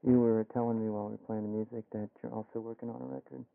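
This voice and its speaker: A tinny old radio recording of an American man with a lot of fry in his voice. He interviews casually and keeps a very flat, low-key tone as host. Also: very fast, no echo. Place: radio studio. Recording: tinny, phone-like vintage radio aircheck.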